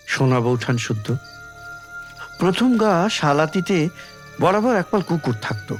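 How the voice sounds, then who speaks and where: Brief: male story reader, dramatic and measured with lively intonation, faint music underneath. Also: fairly high pitch. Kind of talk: dramatic literary audiobook narration.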